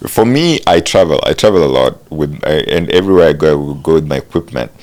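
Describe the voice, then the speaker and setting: A man in his thirties, a podcast guest, talking casually with frequent halting restarts. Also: a bass voice, lively intonation, a little mellow. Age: 30s. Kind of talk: casual chat.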